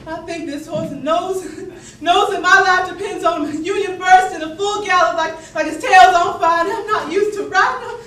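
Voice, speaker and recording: A woman's voice: very high-pitched, moderate pace, hardly any pauses, a bit bright. A woman playing a frightened, spirited enslaved young spy of the Civil War, telling her story theatrically in breathless, stammering exclamations. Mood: panicked, breathless. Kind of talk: theatrical stage monologue, narrating and reliving events. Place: theatre stage, miked from a distance. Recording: roomy distant stage capture, faint noise.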